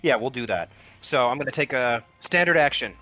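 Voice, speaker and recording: A man's voice: medium pitch, expressive, a little fast, pushed and bright. A man playing a tabletop roleplaying game, casually explaining his moves and the rules. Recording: dull lo-fi mic, background music.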